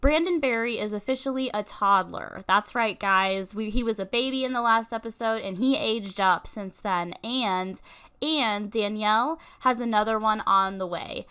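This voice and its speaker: A woman's voice: mid-range voice, normal speed, bright, forceful tone, clear, resonant tone. Congested, chatty young woman narrating gameplay. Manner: chatty, many ums and likes. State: congested from a cold. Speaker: let's-play host. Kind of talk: casual game commentary.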